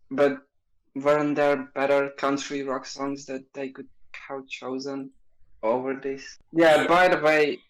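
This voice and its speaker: A man's voice: mid-range voice, low-quality recording. A man from Latvia co-hosting a podcast in casual chat, hesitant and often restarting his sentences. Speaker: podcast co-host.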